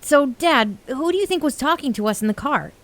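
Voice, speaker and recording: A woman's voice: sits high, lively intonation, fairly quick. A woman playing a curious, eager young boy with an inquisitive manner in a children's audio drama. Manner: inquisitive, reasonable.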